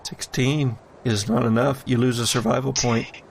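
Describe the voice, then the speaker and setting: A man narrating a horror tabletop game as game master, addressing players in a dramatic, deliberate storytelling manner. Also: medium pitch, steady tone, vocal fry, slight background noise.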